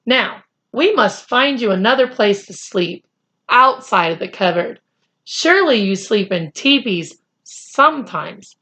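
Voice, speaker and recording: A woman voicing an earnest, gentle young boy in a children's story, polite and eager to please. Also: medium pitch, expressive, a little slow.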